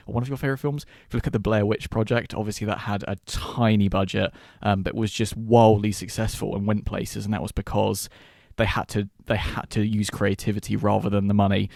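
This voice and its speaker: A man's voice: on the low side, vocal fry, a little mellow, dry recording, clean studio sound. A man hosting a podcast, giving his take in a conversational film discussion. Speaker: podcast host.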